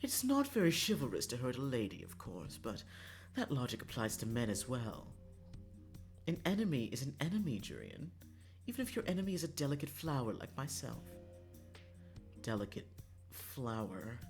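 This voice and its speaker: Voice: mid-range voice, sing-song, normal speed, pauses often, soft background music. A streamer voicing a haughty, teasing prince disguised as a delicate lady, coy and mockingly polite, in a visual novel.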